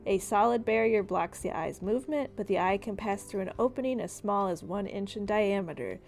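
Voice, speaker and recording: A woman's voice: mid-range voice, lively intonation, a little fast, gravelly, darkish tone. A woman acting as game master, casually narrating a tabletop game and explaining its rules. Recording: music behind the voice. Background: background music.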